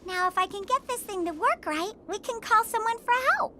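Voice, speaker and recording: A woman's voice: extremely high pitch, fairly quick, sharp tone, slight background noise. A woman voicing a small, trusting little girl in an anime dub, childlike and earnest. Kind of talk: anime English dub acting.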